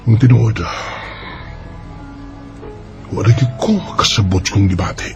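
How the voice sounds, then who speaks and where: A man's voice: fairly low pitch, measured pace, over music. A man playing an earnest, lovestruck young son in a Bisaya radio drama, with a Filipino accent. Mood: earnest, determined. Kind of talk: amateur radio drama acting.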